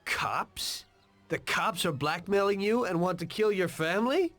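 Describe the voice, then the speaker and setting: An actor playing a loyal, plain-spoken American gangster in a video game, terse and tough. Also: male voice, high-pitched, expressive, a little slow, fairly bright tone. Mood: troubled, confronting. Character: loyal young mob enforcer, dutiful, plain-spoken tough. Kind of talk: dramatic video game voice acting. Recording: music behind the voice. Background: background music.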